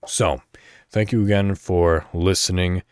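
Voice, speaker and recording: A man hosting a podcast solo, chatting casually and thinking out loud in a rambling way. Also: deep voice, steady tone, unhurried, smooth, no room sound, clean studio sound. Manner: rambling, thinking aloud, mild swearing. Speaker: podcast host.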